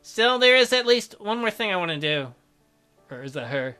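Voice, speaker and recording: A man's voice: high voice, very animated, moderate pace, sharp tone, smooth. A man reading visual novel narration aloud as a dramatic let's play narrator, with music behind him.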